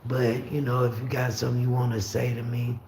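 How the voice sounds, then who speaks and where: A raspy-voiced man from Florida hosting a casual livestream, talking easily and reading out viewer comments. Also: mid-range voice, monotone, a little fast, darkish tone. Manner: rambling, easygoing, frequent fillers and restarts. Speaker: livestream host. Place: room at home with the windows open. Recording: roomy echo with faint street noise.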